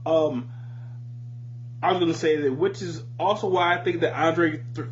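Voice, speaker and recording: A man's voice: on the high side, steady tone, moderate pace. A man hosting a podcast, chatting casually and bluntly about music with plenty of swearing. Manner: informal, profane, opinionated. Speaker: podcast host. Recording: muffled podcast audio with light hum.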